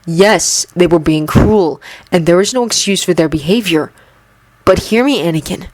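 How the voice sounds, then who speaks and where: A woman voicing a calm, stern young male mentor who speaks softly in a storybook reading. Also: fairly low pitch, moderate pace, no echo, close to an everyday voice, rough recording. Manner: soft, stern.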